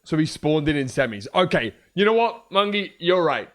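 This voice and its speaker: A young man with a hoarse voice, chatting casually and joking on a livestream. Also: on the high side, very animated, normal speed, fairly bright tone. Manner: joking, trash-talking, swears a lot. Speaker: streamer.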